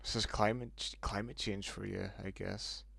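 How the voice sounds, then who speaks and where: A man's voice: lowish voice, steady tone, normal speed, gravelly, fairly bright tone, breathy edge, dry recording. A man casually chatting with viewers on a livestream, reacting aloud to messages and swearing now and then.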